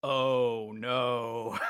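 A man's voice: mid-range voice, creaky, a bit bright, clear, resonant tone, no room sound, studio quality. A man co-hosting a podcast in casual, bantering chat. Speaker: podcast co-host.

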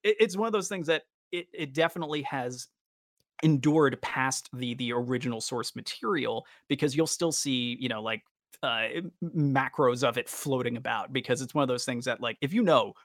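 A man's voice: mid-range voice, moderate pace, no echo, clean studio sound. A man hosting a podcast, talking casually and with enthusiasm about fandom. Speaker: podcast host.

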